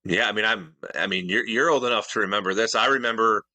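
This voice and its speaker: A man's voice: on the low side, quick pace, pushed and bright, no room sound. A middle-aged man co-hosting a casual podcast chat, earnest and detail-minded, often restarting his sentences. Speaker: podcast co-host.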